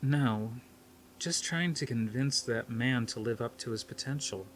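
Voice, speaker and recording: A man's voice: mid-range voice, measured pace, fairly bright tone, slight background noise, lightly acted, rough recording. Man reading as a snooty society woman. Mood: conciliatory. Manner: snotty, pointed delivery. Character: snooty, socially ambitious woman, needling her fiancé.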